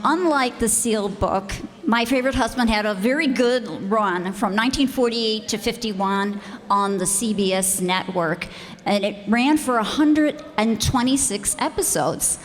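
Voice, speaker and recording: A woman's voice: medium pitch, unhurried. A woman speaking informally as host, introducing a show. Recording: echoey hall.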